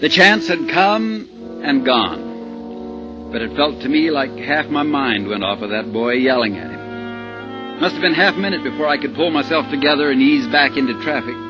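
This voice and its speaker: A man's voice: fairly high pitch, animated, normal speed, lightly acted. A man playing an anxious middle-aged husband driving at gunpoint, in folksy, tense 1950s radio suspense style. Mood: tense narrating. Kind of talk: 1950s radio suspense acting with first-person narration. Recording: muffled old radio recording with music behind.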